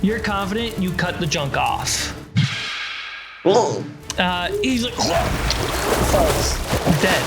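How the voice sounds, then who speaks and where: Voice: medium pitch, expressive, drawn-out words, pauses often. A game master narrating a tabletop role-playing game, with music playing behind the voice. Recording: loud music bed behind voice. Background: background music score.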